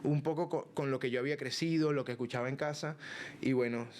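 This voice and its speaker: A man's voice: medium pitch, quick pace. A man with a Caracas accent, a guest musician chatting animatedly in an interview, often restarting his sentences. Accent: Venezuelan, Caracas. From Venezuela. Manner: animated, sings and scats rhythms, frequent restarts. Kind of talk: casual interview chat.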